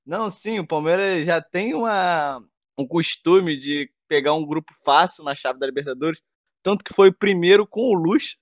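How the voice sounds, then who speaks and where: Brazilian man, fairly high voice, casual football talk. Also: normal speed, dry recording. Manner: slangy, opinionated. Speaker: football podcast commentator.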